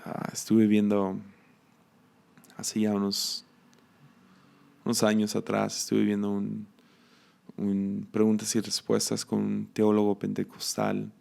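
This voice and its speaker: A man with a Mexican accent giving a reflective devotional teaching, musing aloud with restarts and soft laughs. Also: lowish voice, monotone, takes it slowly, vocal fry, long pauses. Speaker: preacher.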